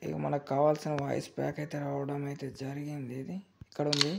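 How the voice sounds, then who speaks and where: A man hosting a gaming channel, explaining a game tutorial in a rapid, repetitive, filler-heavy way. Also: on the high side, monotone, creaky, heavy background noise. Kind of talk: screen-recorded game tutorial.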